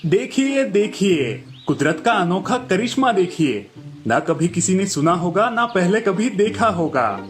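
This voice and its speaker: A man's voice: high-pitched, sing-song, a little slow, faint music behind. A man playing a greedy, scheming village magician and showman with theatrical delivery in a moral story cartoon.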